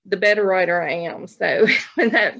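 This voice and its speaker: A woman's voice: lowish voice, normal speed, gravelly, fairly bright tone, lo-fi sound. A woman chatting casually as a podcast guest, informal and rambling, often restarting her sentences. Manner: informal, rambling, self-interrupting. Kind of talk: casual chat.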